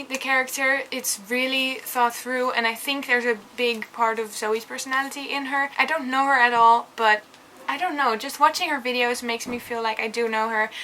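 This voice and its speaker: A woman's voice: fairly high pitch, bright, forceful tone, slight background noise. A young woman from the Netherlands with a Dutch accent, chatting enthusiastically in a casual book review vlog with lots of fillers. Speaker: vlogger.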